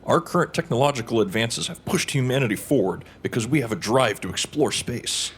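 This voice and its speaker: A man playing an earnest, chatty radio news host in an audio drama, with a slightly husky voice. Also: mid-range voice, fairly quick, a little hiss or hum, natural voice. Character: upbeat, curious male radio news host, space enthusiast.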